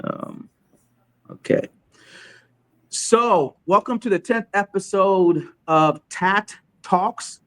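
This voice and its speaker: A man from Chad hosting an online talk show, speaking casually with frequent restarts and pauses as he sets things up and welcomes viewers. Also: sits high, a bit bright. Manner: halting, many restarts while setting up. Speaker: host. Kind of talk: casual livestream interview hosting.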